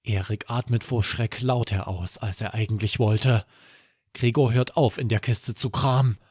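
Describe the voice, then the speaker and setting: A man's voice: mid-range voice, steady tone, a little slow, a little mellow, husky, no echo, clean studio sound. A man narrating a scripted bedtime story for children as the host.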